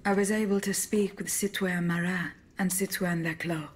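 A video game heroine who confronts people with formal, measured bluntness. Her voice is on the low side and husky. She comes across as composed and accusing. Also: steady tone. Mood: measured, matter-of-fact. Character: composed, accusing female agent confronting a general.

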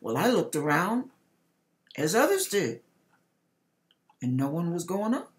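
Slow, measured middle-aged woman. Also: on the low side, wildly swooping pitch. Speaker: host reading a viewer's testimony. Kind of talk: reading a written testimony aloud. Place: home kitchen, indoors.

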